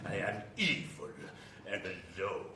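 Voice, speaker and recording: An actor playing a melodramatic, evil and lonely vampire lord in classic horror radio style, grandiose and theatrical. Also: male voice, on the low side, big pitch swings, creaky, bright, forceful tone, heavily acted. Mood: exasperated, menacing. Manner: hammy, grandiose, slow theatrical declaiming. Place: small live venue with audience. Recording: roomy live stage recording, background noise.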